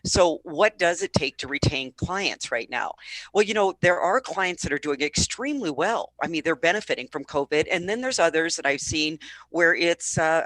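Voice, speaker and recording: A woman's voice: low voice, a bit bright, dry recording, rough recording. A woman speaking as an expert guest and sales coach, giving conversational advice on an online call.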